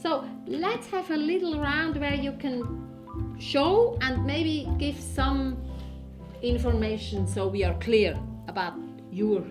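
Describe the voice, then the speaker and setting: Slow, high-voiced woman guiding a group. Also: lively intonation, over music. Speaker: workshop facilitator. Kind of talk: instructing a group.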